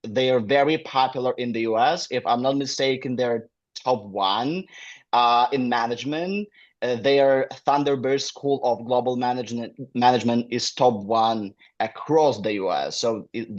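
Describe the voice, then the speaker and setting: Ukrainian-accented man on a dull call. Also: mid-range voice. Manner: non-native phrasing with frequent fillers. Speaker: guest, admissions manager. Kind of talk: casual interview talk.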